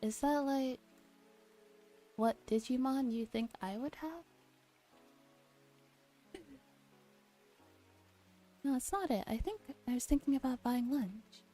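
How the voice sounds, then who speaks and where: A woman streamer gently reading a visual novel aloud in a soft ASMR style, with relaxed casual asides. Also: sits high, slow pace, long pauses. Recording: music behind the voice.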